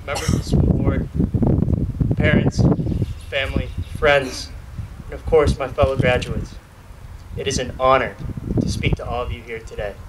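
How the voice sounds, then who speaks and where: A man's voice: mid-range voice, level tone, normal speed. A young man, just graduating, gives the student speech at an outdoor podium, earnest with light jokes. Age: young adult. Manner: earnest, lightly joking, reads from notes. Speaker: student speaker. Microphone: podium mic filmed from a far camera. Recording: distant outdoor recording with noise.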